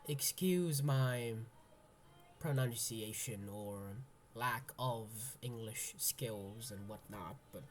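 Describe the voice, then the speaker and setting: Slow, halting young man. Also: medium pitch, faint music behind. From Indonesia. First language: Indonesian. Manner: hesitant, halting, full of ums and restarts; soft, searching, introspective. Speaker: college freshman. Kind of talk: personal venting monologue.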